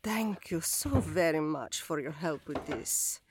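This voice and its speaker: An actress playing an eccentric elderly widow who rambles and jokes but hides something sinister, in an audio drama. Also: female voice, deep voice, expressive, a little slow, noisy background. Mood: doddering. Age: older. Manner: doddering, rambling, bawdy, turns menacing.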